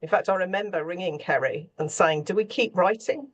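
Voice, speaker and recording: A woman's voice: fairly low pitch, a little fast. A woman speaking off the cuff as a scriptwriter in a panel conversation, often searching for her words.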